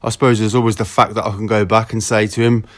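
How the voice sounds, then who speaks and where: A man's voice: fairly low pitch, flat delivery, fast talker. A man with a southeast England accent chatting casually in slangy, sweary language on a recorded telephone call. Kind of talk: casual phone chat.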